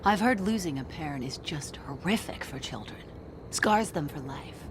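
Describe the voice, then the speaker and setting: A woman's voice: deep voice, animated, moderate pace. A woman playing a cold, cruel, taunting female alien villain in a cinematic video game. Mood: cruelly taunting. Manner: taunting, menacing. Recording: noisy ambience behind the voice.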